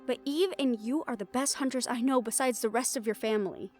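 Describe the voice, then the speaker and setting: A woman's voice: on the high side, a little fast, a little mellow, lightly acted. An actress playing a determined, rule-bending woman hunter in a fantasy audio roleplay, speaking insistently and persuasively. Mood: urgent, resolute. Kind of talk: scripted audio roleplay drama. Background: background music.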